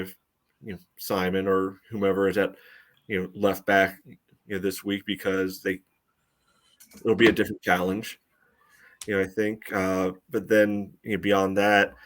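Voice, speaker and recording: Male podcast co-host, lower register, casual sports analysis, halting delivery full of verbal fillers. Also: fairly bright tone. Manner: hesitant, choppy phrasing peppered with "you know".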